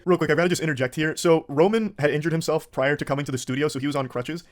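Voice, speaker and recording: A man hosting with casual, breezy, amused commentary and plenty of swearing. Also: a little high. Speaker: host.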